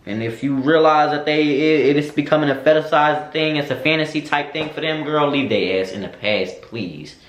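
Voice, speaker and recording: A man's voice: a little high, moderate pace, hardly any pauses, a little hiss or hum. Sassy young man in an echoey room. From United States. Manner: sassy, candid, slangy, addresses viewers as girl. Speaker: vlogger. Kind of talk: casual Q&A chat to camera.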